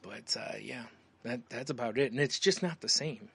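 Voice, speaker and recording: A man's voice: mid-range voice, normal speed, vocal fry, pushed and bright, slightly rough. A man co-hosting a podcast in casual, rambling conversation, often dropping in "you know.". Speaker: podcast co-host. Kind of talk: casual chat.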